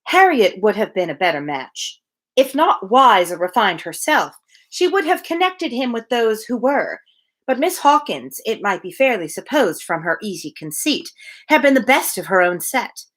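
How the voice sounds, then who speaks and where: Female reader-host, higher-pitched and very expressive, playful, moving between narration and chatty asides. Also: normal speed. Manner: playful, animated. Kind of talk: live novel reading with casual commentary.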